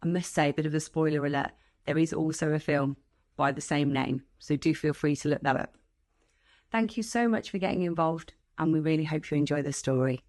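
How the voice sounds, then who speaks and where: A woman's voice: deep voice, a little fast, darkish tone. A woman making an encouraging announcement to young children as a school staff member introducing a storytime.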